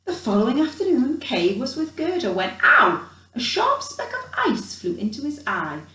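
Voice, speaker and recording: A woman reading a storybook to children in a theatrical, expressive way, adding chatty asides. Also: high voice, moderate pace. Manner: theatrical, dramatic emphasis, chatty asides to young listeners. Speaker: children's storyteller in character as a Christmas elf. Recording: roomy echo, distant from the mic.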